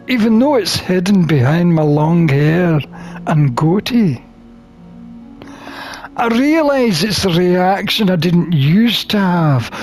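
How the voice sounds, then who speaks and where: Slow, animated male game narrator. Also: high voice. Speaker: gamer playing a visual novel. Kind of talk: expressive read-aloud of game text.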